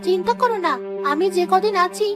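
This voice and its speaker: A woman voicing a bold, clever young girl in an animated fairy tale, with music behind her. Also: very high voice, fairly quick. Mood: curious, confident. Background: background music.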